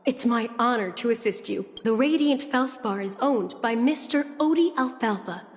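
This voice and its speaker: A woman's voice: on the high side, animated, moderate pace, close to an everyday voice. A woman voicing a gracious, formal female airship attendant in a studio game, polite and ceremonious. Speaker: game character. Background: game music, reverb.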